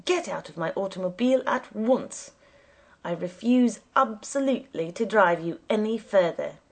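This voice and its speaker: Woman playing cranky old male cab driver, storybook character, husky, indignant, formal, declamatory. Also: on the high side, expressive, slow, pitched above the performer's own voice, full character voice. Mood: enraged. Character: enraged, perverse old male taxi driver, easily offended.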